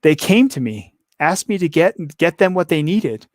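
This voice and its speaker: A hoarse man reading a calm, gleeful male serial killer in custody, slow, low and matter-of-fact. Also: dry recording, clean studio sound.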